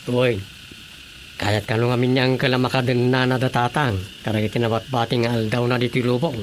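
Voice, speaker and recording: A man's voice: mid-range voice, level tone, a little fast, slight background noise, close to an everyday voice. An actor playing a good-natured Filipino family man in a radio drama, with an Ilocano accent. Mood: matter-of-fact.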